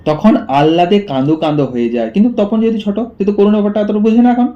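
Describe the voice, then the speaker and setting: The recording is dull and lo-fi. A male schoolteacher explains a text phrase by phrase in a soft-edged, relaxed voice pitched a little high. He often repeats key words and puts questions that he then answers himself. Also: fast. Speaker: school literature teacher. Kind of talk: explanatory lesson, reading a story line by line. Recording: dull, muffled lo-fi audio.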